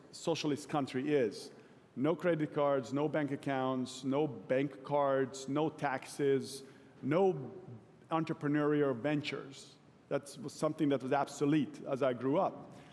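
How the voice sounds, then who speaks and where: A man from Hungary in his forties, giving a relaxed, self-deprecating conference talk to a live audience. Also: a little high. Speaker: keynote speaker. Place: large event hall. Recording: long hall reverb.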